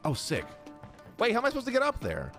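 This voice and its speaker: A man's voice: very high-pitched, huge pitch swings, fast, a bit bright. A man in his thirties giving casual live commentary as he plays, close on a desk microphone. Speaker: game streamer. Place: indoor gaming room. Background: faint game music.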